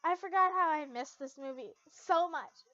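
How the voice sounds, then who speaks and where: A young woman voicing an excitable, lovestruck young heroine in a story reading, dramatic and flustered. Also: high-pitched, normal speed, no room sound. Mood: panicked. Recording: dull, lo-fi home recording.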